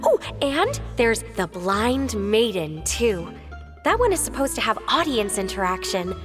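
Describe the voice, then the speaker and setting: A woman's voice: on the high side, big pitch swings, measured pace. A woman voicing a bored, snide young agent who drawls dismissively, with long hall reverb over game music. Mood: idle.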